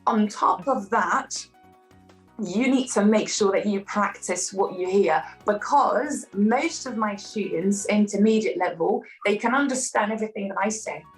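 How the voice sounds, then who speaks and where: A woman from France with a French accent, a language teacher chatting casually as an interview guest, relaxed and full of fillers. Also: medium pitch, unhurried, soft background music, low-quality recording. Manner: relaxed, chatty, many fillers, laughs easily.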